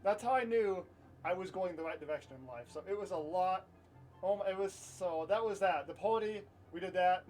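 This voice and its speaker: A man's voice: fairly high pitch, darkish tone, recorded from a distance. A man telling a personal story casually to camera in an informal, rambling way, repeating himself and swearing now and then. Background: light music.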